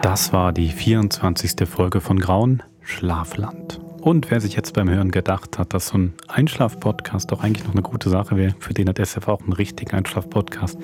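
A man with a slightly low voice hosts a podcast. He talks in a casual, conversational way. Music plays clearly behind him. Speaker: podcast host.

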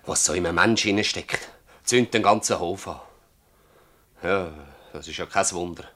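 Plaintive, low-voiced Swiss German farmer. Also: male voice, normal speed, a bit bright. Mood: distressed, pleading. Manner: plaintive, blunt, insistent. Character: troubled, stubborn older male village farmer pleading for his farmhand. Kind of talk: radio drama acting in dialect.

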